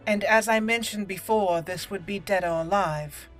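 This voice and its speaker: A woman voicing a resolute young elf mage in a roleplayed game walkthrough, formal, blunt and measured. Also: medium pitch, fairly bright tone, close to an everyday voice. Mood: blunt resolve. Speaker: gaming host. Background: game music.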